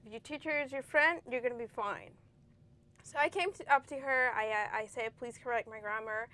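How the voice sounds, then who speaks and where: Young woman, kinda high voice, earnest, trips over words a bit. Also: a little fast, sharp tone. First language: Spanish. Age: young adult. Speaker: guest, former student. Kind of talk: interview.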